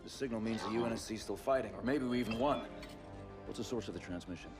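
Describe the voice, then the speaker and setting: A man's voice: fairly low pitch, fast, loud music behind the voice, natural voice. A man playing a middle-aged starship captain in a video game, authoritative and dry, giving clipped orders. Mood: resolute, hopeful. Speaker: voice actor in game cutscene. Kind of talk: scripted sci-fi drama.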